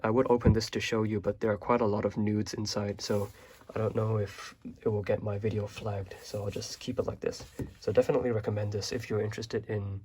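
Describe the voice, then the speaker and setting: A young man from Hong Kong, a native Chinese speaker, casually showing his bookshelf in a relaxed, rambling way with many fillers. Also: lowish voice, a little fast, hardly any pauses, slightly rough. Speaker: vlogger.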